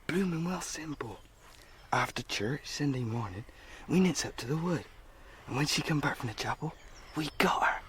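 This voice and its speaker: An actor playing a cocky rural gang ringleader, a rough lad with a West Country accent, in a radio drama. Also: mid-range voice, lively intonation, normal speed, a bit bright, slight background noise, close to an everyday voice. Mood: scheming. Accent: West Country English. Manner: blunt, swaggering dialect talk.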